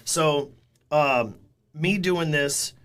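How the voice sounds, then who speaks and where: A man's voice: a little high, drawn-out words, a bit bright, smooth. A man in his forties, a guest in a casual interview, telling stories in a blunt, candid way and swearing freely. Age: 40s.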